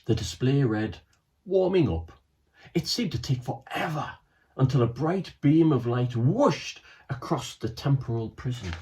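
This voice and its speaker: A man reads a children's storybook aloud, letting his voice swoop up and down with lively expression. He adds chatty asides to his listeners between the lines of the story. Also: mid-range voice, moderate pace. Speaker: author reading his book.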